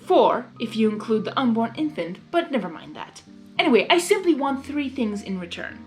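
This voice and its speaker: A woman takes on a sly male chaos creature from a fan fiction story. The voice is high for such a villain, drawling and theatrical, smug and mocking, with music behind it. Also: very animated, normal speed. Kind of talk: fan fiction character reading.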